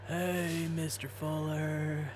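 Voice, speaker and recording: An actor playing a sheepish, wheedling warehouse worker in casual audio drama dialogue, with an apologetic, stammering manner. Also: male voice, fairly high pitch, level tone, very slow, music in the background.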